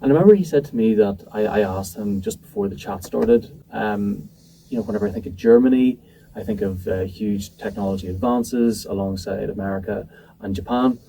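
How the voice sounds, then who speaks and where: A man's voice: lowish voice, fairly quick, vocal fry, mellow. A man answering interview questions about a tech event in a casual, hesitant way, with many ums and restarts. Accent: not from New Zealand. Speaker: event organizer, interview guest. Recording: distant mic with faint noise.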